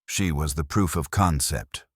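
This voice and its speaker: A man plays a brilliant, imperious detective in a mystery audiobook. His voice is extremely low and the sound is dry. He delivers formal, terse verdicts with a scowl. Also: unhurried, no room sound. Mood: grave. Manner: pompous, formal, terse pronouncements.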